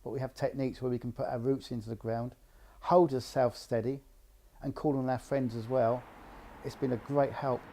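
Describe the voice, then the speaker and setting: Mellow-voiced man, halting interview talk. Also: medium pitch, flat delivery, slight background noise. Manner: halting, with restarts and ums. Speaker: interviewee, coffee shop owner. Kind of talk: casual personal interview.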